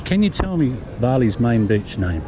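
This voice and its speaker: A man hosting a casual street interview, chatty and friendly with quick questions. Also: mid-range voice, big pitch swings, dull audio. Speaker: street interviewer, vlog host. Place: busy city street outdoors. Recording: loud street traffic noise.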